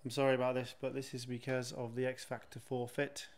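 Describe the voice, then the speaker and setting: A man's voice: medium pitch, flat delivery, a little fast. A man in his thirties, a paranormal investigator, casually explaining things to camera. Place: indoors in an old hall room.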